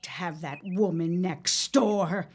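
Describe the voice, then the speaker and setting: A woman's voice: on the low side, slow, breathy edge, heavily acted. An actress playing a stern, protective older mother and storekeeper in a period audio drama, firm and no-nonsense. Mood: firm, settling.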